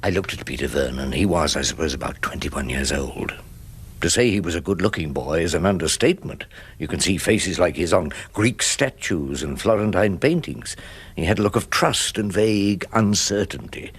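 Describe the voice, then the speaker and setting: An actor playing a wry, world-weary old English defence barrister in a radio drama, speaking in a dry, wordy, deliberate way. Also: male voice, low voice, expressive, slightly rough, exaggerated voice. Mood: wry, reflective. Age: older. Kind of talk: British radio drama narration and dialogue.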